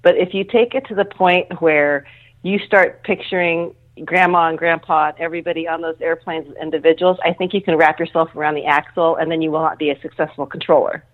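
Middle-aged female interview guest, low register, earnest and conversational, heard over a thin telephone-style connection. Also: fairly even intonation, a little fast. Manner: earnest, informal, often rephrasing. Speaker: interview guest, air traffic control manager. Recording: thin phone-like line.

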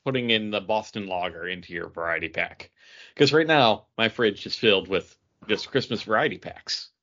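A man's voice: lowish voice, normal speed, sharp tone, lo-fi sound. A man co-hosting a podcast in a casual, conversational style. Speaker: podcast host. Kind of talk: casual chat.

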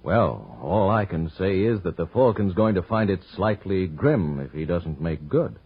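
A man's voice: sits low, very animated, measured pace, breathy edge. A man announcing on 1940s radio in a polished, emphatic sales style. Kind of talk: 1940s radio advertising and narration. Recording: dull old radio recording.

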